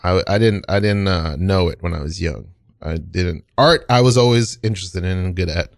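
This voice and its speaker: Low-voiced man hosting casual comedy banter. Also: moderate pace, gravelly, no echo, clean studio sound. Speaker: podcast host.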